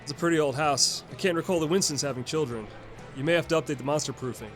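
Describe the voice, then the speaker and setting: A man plays an older, fatherly uncle with a fairly high voice for a man. He talks in a folksy, matter-of-fact, earnest way in an audio comedy sketch, with music clearly behind him. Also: fast talker, a bit bright.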